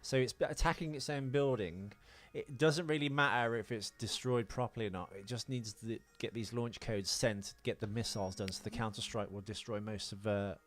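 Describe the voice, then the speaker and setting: A man co-hosting a podcast, reading trivia and chatting about a film in a halting way with dry humour. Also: fairly low pitch, steady tone, a bit bright. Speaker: podcast co-host.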